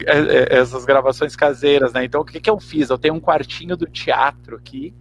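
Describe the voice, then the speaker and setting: Brazilian male interviewee, gravelly mid-range voice, reflective and hesitant, some noise and hum behind. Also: fairly high pitch, steady tone. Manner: halting, searching for words, repeats words. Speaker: actor and teacher interviewed.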